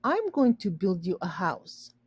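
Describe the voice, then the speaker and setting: A woman's voice: medium pitch, very animated, unhurried, slightly rough, no echo. A woman voicing an easygoing, gleeful young boy in a storybook, breezy and playfully reassuring.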